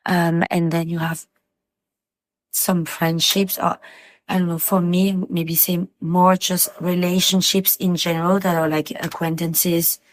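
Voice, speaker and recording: Woman from france, slightly low voice, casual podcast guest, dry sound. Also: flat delivery, measured pace, no echo, rough recording. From Paris, France. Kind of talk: casual chat.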